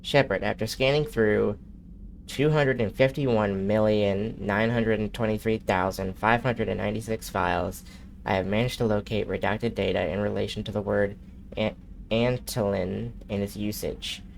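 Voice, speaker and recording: Gravelly, nasal man reading game text. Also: fairly low pitch, slight background noise. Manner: steady, unhurried reading with occasional slips and restarts. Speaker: streamer. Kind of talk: reading in-game messages aloud during a live gameplay stream.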